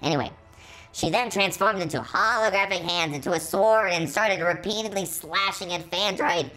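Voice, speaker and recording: A woman performs a musical robot character hosting an online story reading. The voice sits low for a woman. Its delivery is theatrical and exclamatory, easily astonished and always reacting to the tale. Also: a bit bright, poor audio. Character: excitable musical robot reading fan fiction about himself. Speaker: channel host.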